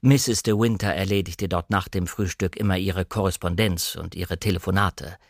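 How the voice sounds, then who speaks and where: A man voicing a reserved butler of a grand house in an audiobook, speaking in a measured, deferential, formal manner. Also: low-pitched, steady tone, gravelly, no room sound, clean studio sound, pitched below the performer's own voice. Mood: politely reserved. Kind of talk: audiobook character reading.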